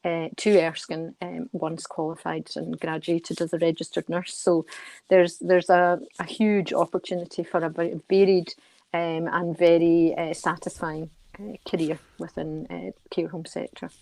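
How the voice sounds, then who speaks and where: A middle-aged woman giving measured, earnest answers as a radio interview guest, halting and repeating words as she goes. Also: on the low side, level tone, a little mellow, dry recording. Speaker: guest, care charity board member.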